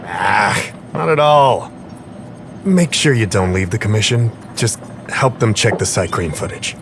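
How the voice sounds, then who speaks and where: An actor playing a government official in a video game, with brisk, easygoing authority. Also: male voice, on the low side, noisy background. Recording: music under the voice.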